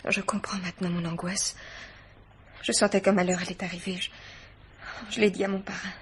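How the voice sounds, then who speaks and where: An actress playing a guarded, anxious yet self-possessed young woman in a French radio mystery play, speaking gravely and formally. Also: mid-range voice, level tone, fairly quick, a little hiss or hum, close to an everyday voice. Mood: anguished, reticent. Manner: grave, formal, hesitant but pointed.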